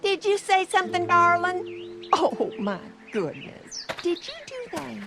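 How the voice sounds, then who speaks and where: A woman's voice: very high voice, very animated, measured pace, music in the background, full character voice. A woman voicing a sweet, genteel older mother in a video game. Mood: delighted surprise.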